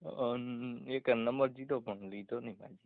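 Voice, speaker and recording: A man's voice: steady tone, measured pace, creaky, no echo. A young man with a rural Gujarati accent chats casually in a private call, answering briefly, often just with a hum. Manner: laconic, short replies and low hums. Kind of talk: casual private phone chat. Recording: muffled, band-limited phone call recording.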